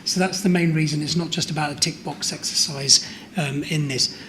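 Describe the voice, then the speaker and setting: A man chairing a formal meeting, polite and brisk as he thanks speakers and hands over. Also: on the high side, steady tone, a bit bright, a little hiss or hum.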